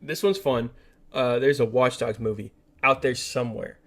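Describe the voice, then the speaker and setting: A man's voice: medium pitch, normal speed. A man co-hosting a casual movie and game podcast, opinionated and emphatic, repeating words for stress. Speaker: podcast co-host.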